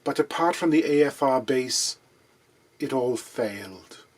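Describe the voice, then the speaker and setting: A man putting on a Swiss accent to voice a mild Swiss man reading a memorial in a measured, solemn way. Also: mid-range voice, lively intonation, breathy edge. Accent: Swiss put-on accent.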